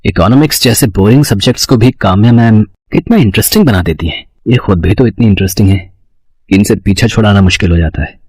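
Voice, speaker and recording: A man playing a lovestruck male college student of twenty-one in an acted story, sly and pleading, with a hesitant, flattering manner. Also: lowish voice, quick pace, breathy edge, no echo. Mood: dreamy admiration.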